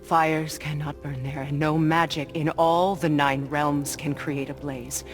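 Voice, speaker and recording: A woman's voice: low-pitched, level tone, a little slow, music in the background. An actress playing a proud, wise witch goddess and healer in a video game, solemn, firm and instructive. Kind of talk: dramatic video game acting.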